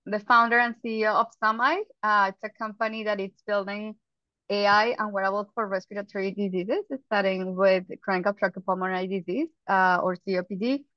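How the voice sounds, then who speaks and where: A woman in her thirties with a Colombian accent, a startup founder telling her story on a webinar panel, earnest and quick, with restarts. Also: mid-range voice, fairly even intonation, no echo. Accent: Colombian Spanish. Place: home office, indoors. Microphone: headset. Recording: dry, lo-fi video call audio.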